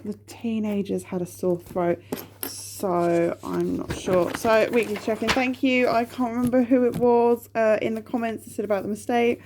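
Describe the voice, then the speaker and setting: A woman's voice: fairly high pitch, steady tone, normal speed, background noise. A woman in her thirties from the UK, chatting to camera at home about her budget, sniffly and rambling with lots of ums. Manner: chatty, rambling, with many ums and self-corrections. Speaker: budgeting vlogger. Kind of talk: casual chat to camera while counting cash.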